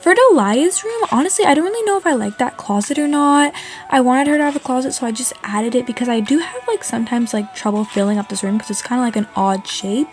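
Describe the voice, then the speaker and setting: A woman's voice: sits high, expressive, quick pace. A woman from Canada giving a casual, chatty game voice-over in an informal, indecisive way. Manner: informal, indecisive, frequent "like" and "honestly". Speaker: video creator narrating a game build. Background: background music.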